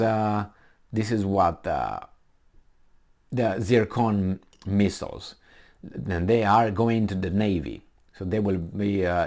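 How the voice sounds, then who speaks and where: Rambling Romanian-accented man, lowish voice. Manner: rambling, digressive, with many fillers and self-corrections. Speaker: commentator reading a news article. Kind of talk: casual commentary on a news article.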